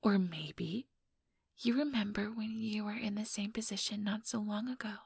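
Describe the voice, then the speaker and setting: A woman playing an ambitious vampire elder in a whispered ASMR roleplay, breathy, deliberate and patronizing, with cool menace. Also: mid-range voice, darkish tone, no echo, clean studio sound. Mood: taunting, probing.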